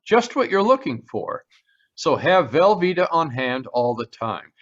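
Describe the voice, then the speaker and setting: Dull-sounding male radio pitchman, higher voice. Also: big pitch swings, a little slow. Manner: friendly salesman patter. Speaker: commercial announcer. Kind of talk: 1940s radio advertisement read. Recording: dull lo-fi video call audio.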